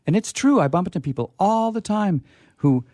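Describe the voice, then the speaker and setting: A man hosting a casual on-air interview in a chatty, halting, wordy way, with playfully grandiose touches. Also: high-pitched, expressive, dark tone, no room sound, clean studio sound. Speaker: radio host. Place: radio studio.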